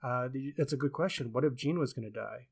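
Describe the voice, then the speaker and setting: A man's voice: mid-range voice, fast, vocal fry, breathy edge. Opinionated man discussing books, emphatic delivery. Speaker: podcast co-host and book reviewer. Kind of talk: casual book discussion.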